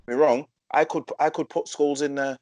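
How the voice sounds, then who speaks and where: A man co-hosting a casual football debate, speaking in a deliberate, insistent way. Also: a little high, steady tone, darkish tone. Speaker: podcast co-host.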